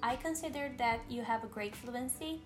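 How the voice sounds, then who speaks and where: A woman's voice: fairly high pitch, normal speed, faint music behind. A Brazilian woman, a native Portuguese speaker, chatting casually in English with a Brazilian accent, earnest and reassuring, with frequent fillers and restarts. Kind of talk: casual conversation.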